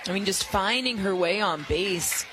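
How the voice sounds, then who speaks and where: A woman giving conversational color analysis on a live radio softball broadcast. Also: mid-range voice, animated, moderate pace. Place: outdoor softball stadium. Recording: noisy outdoor ballpark broadcast. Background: loud ambient ballpark noise.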